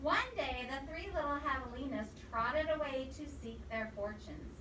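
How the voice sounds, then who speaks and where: High-voiced woman reading aloud to children. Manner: expressive, pausing to quiz the listeners. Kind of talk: storybook read-aloud with questions to children. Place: indoor room with children present. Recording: echoey, distant microphone, noisy room.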